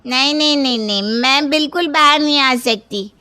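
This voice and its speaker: A woman's voice: high voice, moderate pace, pushed and bright. A woman voicing a fussy, stubborn aunt with an emphatic manner for a cartoon story. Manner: emphatic, repetitive refusing.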